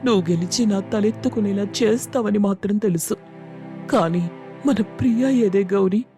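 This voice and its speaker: A man's voice: high voice, moderate pace, mellow, soft background music, voice pushed up. A man voicing an emotional village mother, proud yet worried for her daughters, in a moral story. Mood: proud, wistful. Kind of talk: dramatised moral story acting.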